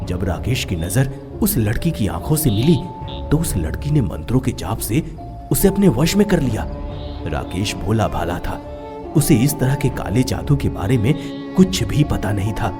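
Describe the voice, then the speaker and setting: A man narrating a scripted horror story in a dramatic storytelling style. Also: lowish voice.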